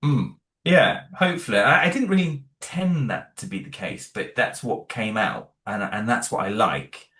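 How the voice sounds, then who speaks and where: Hesitant low-voiced man, echoey. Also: expressive, normal speed, pushed and bright. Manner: hesitant, many restarts and fillers. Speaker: guest, horror audio drama creator. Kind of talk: casual interview answers.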